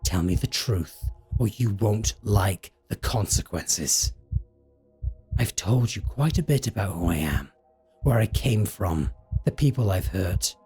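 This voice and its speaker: A slow, mellow-voiced male vampire, acted by a man in an audio drama, drawing out his threats with theatrical relish. His pitch swoops widely as he slips between cold menace and mock tenderness, possessive and seductive. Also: animated, husky, heavily acted. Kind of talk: scripted roleplay audio drama.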